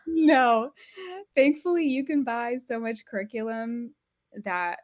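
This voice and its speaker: A woman's voice: a little high, expressive, takes it slowly, no room sound, dull audio. A woman in her thirties from Portugal, a podcast guest chatting casually and often restarting her sentences. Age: 30s. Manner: chatty, self-correcting, laughs easily. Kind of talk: casual interview chat.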